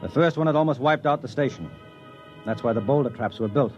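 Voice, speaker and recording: An actor playing a gruff, determined space explorer who narrates and gives orders in a decisive way, in 1950s radio drama style. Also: male voice, medium pitch, brisk, lightly acted. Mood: grim. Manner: decisive, commanding. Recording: dull old radio recording, loud music.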